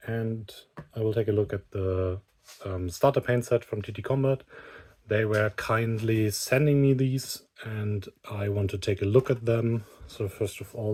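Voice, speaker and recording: Slow-talking man with a low voice. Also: darkish tone. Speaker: hobby video reviewer. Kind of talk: unscripted product review to camera.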